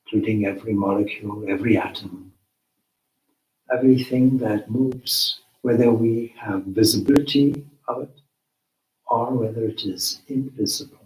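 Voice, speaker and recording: A man leading a guided meditation as a teacher, with measured, deliberate phrasing and long pauses. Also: fairly low pitch, darkish tone. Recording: roomy, echoing space.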